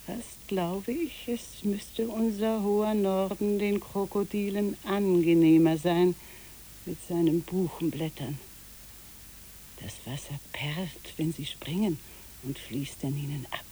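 A dreamy odd boat lady in a radio play, slow and mellow, musing then bossy. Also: lowish voice, fairly even intonation, slight background noise, rough recording. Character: dreamy, eccentric woman who rents out boats. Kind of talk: literary radio play acting.

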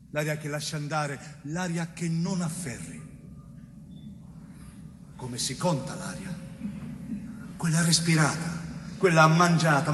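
An anguished innocent man in a theatrical monologue. His fairly high voice circles back over poetic phrases, stretching them out. The hall around him echoes heavily, with faint noise. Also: distant mic. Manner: poetic, repetitive, drawn-out phrases.

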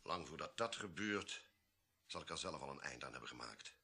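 An actor playing an imperious, ruthless army colonel in a radio play, speaking in a curt, formal, commanding way. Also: male voice, a bass voice, expressive, moderate pace, pushed and bright, husky, heavily acted. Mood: grim. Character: imperious, ruthless male army colonel guarding a deadly secret. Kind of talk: Dutch radio play acting.

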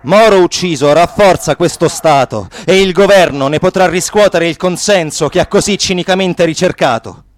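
A man's voice: sits high, moderate pace, few pauses, lightly acted. A man acting in a theatrical radio drama as an anguished young activist, speaking in an intense, declamatory way and repeating phrases. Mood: solemn indictment. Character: anguished young male activist searching for a missing friend.

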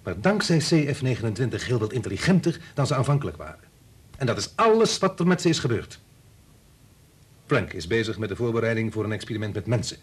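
An actor playing a cynical, pushy husband and scientist in a radio play, sardonic and dismissive. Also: male voice, lowish voice, very animated, quick pace, breathy edge. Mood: pushy, persuasive. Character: cynical, pushy biologist husband and father, belittling. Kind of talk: Dutch radio play acting.

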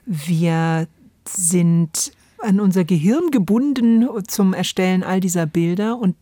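Low, mellow-voiced woman, dry studio sound. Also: takes it slowly, dry recording. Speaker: radio show host. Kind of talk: reflective two-person conversation about radio drama.

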